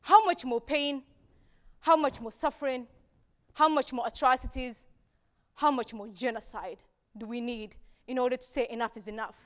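A young woman from Somalia delivers a prepared talk from a dark auditorium stage. Her voice sits high, and she leans on repeated phrases with measured pauses between them. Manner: rhetorical repetition, deliberate pauses. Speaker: speaker at a campus talk event. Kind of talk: prepared public speech.

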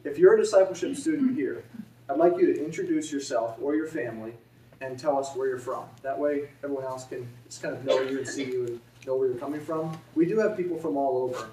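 A young man, a youth pastor, giving a relaxed, friendly talk to a congregation. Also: mid-range voice, fast, a little hiss or hum. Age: young adult. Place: church sanctuary. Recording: echoey church from a distance.

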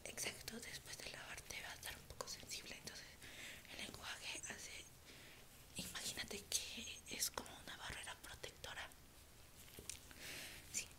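A young woman in a whispered ASMR roleplay as a dentist, breathy and close to the mic, reassuring and unhurried. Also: medium pitch. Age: young adult. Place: small indoor room.

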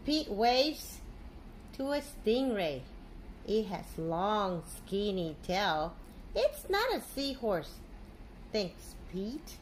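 A middle-aged woman, a teacher reading a picture book aloud to young children in an animated, simple way. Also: mid-range voice, drawn-out words, a little hiss or hum. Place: living room at home, indoors.

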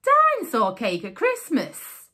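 Woman putting on a squeaky, fretful little male mouse. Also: wildly swooping pitch, sharp tone, full character voice. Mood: startled, doubtful. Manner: exclaiming, drawn-out, indignant words.

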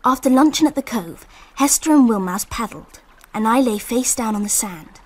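An actress narrating a radio drama as a wistful English girl recalling a summer, in hushed, lyrical storytelling. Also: on the high side, expressive, normal speed.

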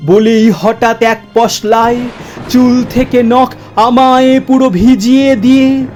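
A reciter performing poetry in a theatrical, emotive way that moves between hushed and full-voiced delivery, with music behind. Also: mid-range voice, steady tone, takes it slowly. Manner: theatrical, swelling from hushed murmurs to loud emotive lines. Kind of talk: expressive dramatic poetry recitation over music.

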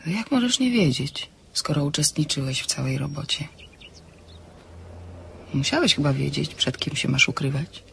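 Stubborn woman sleuth, low-key irony, inquisitive and sharp-tongued, crime audio drama, faint noise behind. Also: animated, normal speed, vocal fry, pauses often, full character voice. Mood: demanding, exasperated. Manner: wry, inquisitive.

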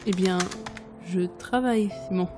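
A woman playing a strong-willed, sardonic book editor in an audio drama, halting and expressive. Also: mid-range voice, lightly acted. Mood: wry, collected. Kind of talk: French audio drama acting. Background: music.

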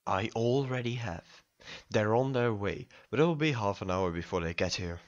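A man's voice: lowish voice, normal speed, lo-fi sound, natural voice. A man playing a stiff, indignant president in an audio drama. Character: stiff, indignant male president among murder suspects. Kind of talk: scripted audio drama acting.

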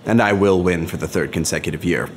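A man's voice: low voice, fast talker, slight background noise. An actor playing an arrogant young male coding champion who boasts and taunts his rival. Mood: smug. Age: young adult. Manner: smug, boastful, theatrical.

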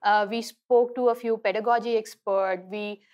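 A woman with an Indian accent speaks in a fairly high voice, explaining things earnestly. She sounds like a team member being interviewed, talking through her work in a conversational way. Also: fairly even intonation, normal speed, a little mellow, dull audio. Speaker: app team designer interviewee. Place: at a café table.

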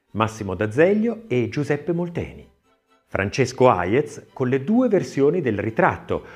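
Expressive male narrator with lively intonation. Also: mid-range voice. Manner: expressive, measured literary reading with deliberate phrasing. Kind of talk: scripted audiobook narration of an art-history essay, with recited verse.

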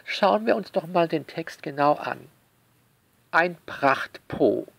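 A man speaks slowly in a fairly high, creaky voice, close and dry. He comments to his listeners with theatrical sarcasm and mock indignation, stretching key words for emphasis. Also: expressive, a bit bright, dry recording. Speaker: author commenting on their own novel. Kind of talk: sarcastic spoken essay addressed to listeners.

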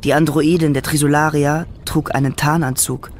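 A man's voice: fairly high pitch, flat delivery, moderate pace, a little hiss or hum. A man narrating a radio drama from a script. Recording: music behind the voice.

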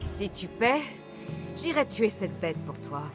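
A woman's voice: medium pitch, very animated, measured pace, over music. An actress playing a tough, wry female mercenary hunter in a French game dub, dry and blunt. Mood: cool, businesslike. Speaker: video game voice actor.

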